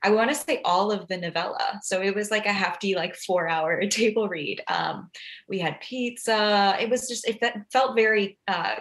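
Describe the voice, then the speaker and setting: A woman's voice: mid-range voice, moderate pace, fairly bright tone. A woman talking casually as a podcast guest, sprinkling her explanations with "like". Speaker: podcast guest, ghostwriter and audio fiction producer. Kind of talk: casual interview chat.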